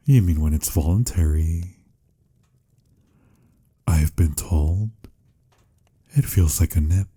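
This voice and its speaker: A man playing a tender, teasing, lovelorn vampire in a romantic ASMR roleplay, speaking intimately and soothingly in a husky, breathy voice that slips into whispers. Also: sits low, dark tone, exaggerated voice. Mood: gentle, explaining. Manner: intimate, soothing, slightly formal endearments.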